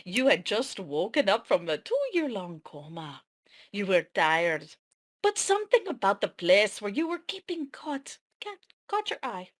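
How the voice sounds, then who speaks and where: A cryptic fantasy warrior woman played by a woman in a table read, husky and unhurried. Her delivery is grave and dramatic, with pitch that swings widely as she shares secrets. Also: big pitch swings. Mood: solemn.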